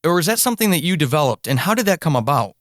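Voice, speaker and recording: A man's voice: on the high side, very animated, very fast, dry recording, clean studio sound. A man hosting a podcast interview, speaking in an effusive, emphatic, enthusiastic way.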